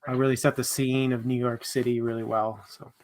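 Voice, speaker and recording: A man's voice: medium pitch, little pitch movement, a little fast, darkish tone. A man co-hosting a live book discussion stream, talking casually and in a friendly, informal way to viewers.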